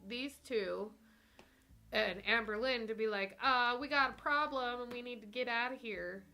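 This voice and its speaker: A woman playing a tabletop game, chatting casually with animated, joking interjections. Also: fairly high pitch, moderate pace.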